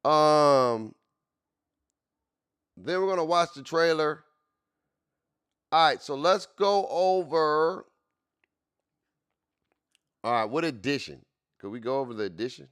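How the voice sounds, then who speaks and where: A man's voice: fairly high pitch, expressive, slow pace, long pauses, a bit bright, clear, resonant tone, no echo, clean studio sound. A man in his late forties streaming casually, repeating himself with hype and constantly talking to his chat. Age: 40s. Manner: repetitive, hyped, keeps addressing chat.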